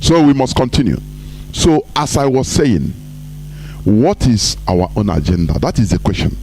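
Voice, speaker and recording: A man hosting a live online broadcast, talking emphatically, rising high to stress points and dropping low for asides. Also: very animated, normal speed, dark tone, faint music behind, poor audio.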